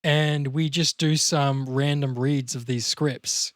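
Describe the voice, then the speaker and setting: Mid-pitched man in dry podcast chat. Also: steady tone, slow pace, no room sound. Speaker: podcast co-host and actor.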